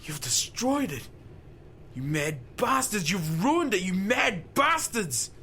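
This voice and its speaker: Guy acting anguished time travel fisherman telling his story, kinda high voice, dramatic breathy to shouty, noisy rumbly recording. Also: sing-song, takes it slowly, heavily acted. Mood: anguished, furious. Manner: theatrical, swings from breathy murmur to anguished shouting. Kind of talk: first-person dramatic monologue, audio drama.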